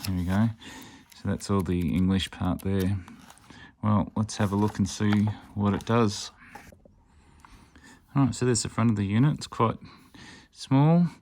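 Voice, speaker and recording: A man's voice: fairly low pitch, unhurried, long pauses, a little mellow, slight background noise. A man casually demonstrating a gadget hands-on, explaining it step by step in a matter-of-fact way. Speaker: amateur radio reviewer and channel host.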